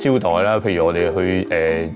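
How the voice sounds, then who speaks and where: A middle-aged man with a Hong Kong accent, speaking haltingly and reflectively in an interview, searching for his words. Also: low voice, over music. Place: small book-lined room, indoors.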